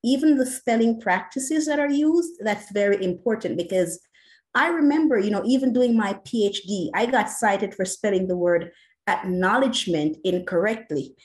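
A woman's voice: medium pitch, expressive, normal speed. A woman with a Jamaican accent, an educator answering an interview question earnestly and passionately, with many "you know" fillers. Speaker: educator and academic.

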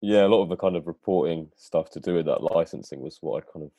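Low-voiced laid-back man, dry sound. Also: quick pace, warm tone, no room sound. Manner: casual, lots of "like" and "kind of". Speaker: guest, data engineer. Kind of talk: casual interview answers.

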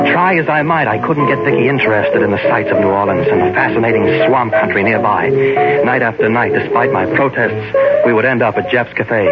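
An actor playing an exasperated, devoted husband who narrates his mystery tale in a formal, measured 1940s radio drama style. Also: mid-range voice, close to an everyday voice. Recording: muffled old radio recording with music.

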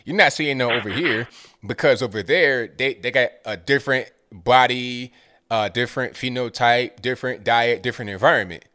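A man hosting a call-in show, taking a caller and then giving his opinions in a relaxed, measured way. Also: mid-range voice. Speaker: host.

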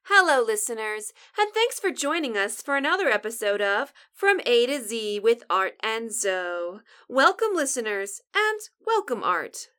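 A bossy survivor , played by a woman, co-hosting a radio show in a comedic audio drama. Her voice is high and bright, with crisp sarcasm and an exasperated, take-charge manner. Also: big pitch swings, measured pace, few pauses, exaggerated voice. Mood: polished hosting.

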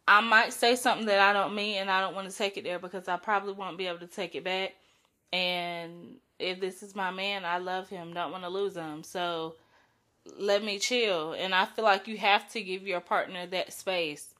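Bright-voiced woman with a cold. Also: mid-range voice. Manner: chatty, frank, uses slang and light swearing. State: sick with a cold, voice strained. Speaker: podcast host. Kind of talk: casual solo podcast monologue.

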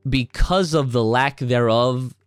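A man's voice: medium pitch, slow pace, smooth, no room sound, soft background music. A man co-hosting a podcast, talking casually with many fillers and restarts. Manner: rambling, lots of fillers and restarts. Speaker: podcast co-host. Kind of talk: casual chat.

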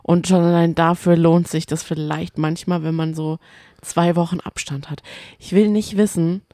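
A woman's voice: fairly low pitch, steady tone, moderate pace, mellow, no room sound, clean studio sound. A woman, chatting casually as a podcast co-host about reality TV.

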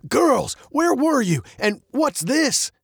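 A man's voice: extremely high pitch, big pitch swings, slow, creaky, husky, no room sound, clean studio sound, pitched above the performer's own voice, exaggerated voice. A man voicing a protective captain in a storybook, a stern but caring father with a firm, commanding manner. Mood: worried. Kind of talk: storybook character voice.

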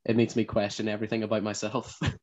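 A man's voice: lowish voice, completely flat delivery, fast talker, highs cut off. A man with a Northern Irish accent co-hosting a podcast, chatting casually and laughing often at himself. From Northern Ireland. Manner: laughing often, self-deprecating. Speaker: podcast co-host. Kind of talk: casual chat.

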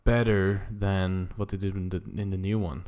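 A man's voice: low-pitched, little pitch movement, normal speed, darkish tone. A man co-hosting a podcast, chatting casually and giving his take in an informal discussion. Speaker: podcast co-host. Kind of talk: casual film discussion chat.

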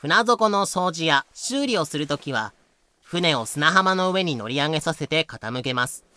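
A man's voice: on the high side, animated, a little slow, pushed and bright, clean tone. A man narrating a scripted audio drama.

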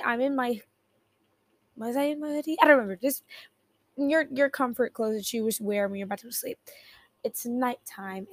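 Raspy-voiced young woman rambling. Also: fairly high pitch, no echo. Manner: rambling, self-interrupting, giggly. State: raspy just-woken voice. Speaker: podcast host. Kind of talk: casual solo podcast chat.

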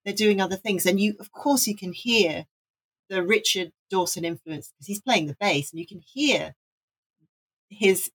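A woman's voice: mid-range voice, normal speed, husky, low-quality recording. A woman from Wales co-hosting a casual music podcast, talking enthusiastically and rambling with restarts. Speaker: podcast co-host.

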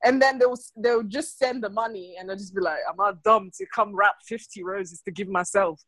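A woman's voice: medium pitch, moderate pace, dry recording. A woman chatting on a podcast, telling stories with energy and acting out the people in them. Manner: animated storyteller, acts out customers. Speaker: guest. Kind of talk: casual podcast chat.

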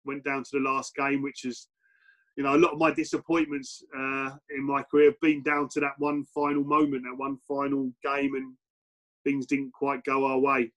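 A middle-aged English man, a former footballer and coach, answering interview questions in a relaxed, reflective way with many fillers and restarts. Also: medium pitch, moderate pace, poor audio. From England.

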